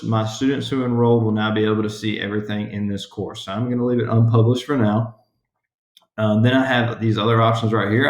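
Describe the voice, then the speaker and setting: A man with a fairly low voice walks through a software screen in a steady monotone. He speaks plainly and matter-of-factly, like a teacher running a tutorial. The room around him rings with noticeable echo. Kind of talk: screen-recorded tutorial narration.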